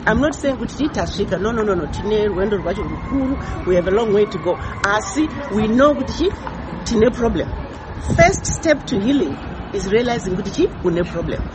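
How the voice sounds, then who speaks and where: An emphatic woman answers interview questions, repeating words to drive her points home. She is recorded outdoors with loud traffic and street noise around her. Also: medium pitch, animated, a little fast. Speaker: ambassador being interviewed. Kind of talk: interview, mixing Shona and English.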